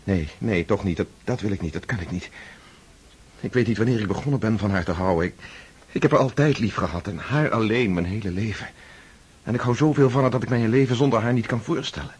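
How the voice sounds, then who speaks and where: An actor playing an anxious, lovesick young nobleman in a radio play, speaking in halting, stammering bursts. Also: on the low side, slightly rough. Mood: pleading.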